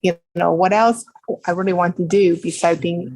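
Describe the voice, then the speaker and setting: Lower-pitched middle-aged woman, Taiwanese accent. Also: steady tone, a little mellow, poor audio. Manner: halting, non-native phrasing. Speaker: interview guest, nonprofit founder. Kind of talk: conversational personal storytelling.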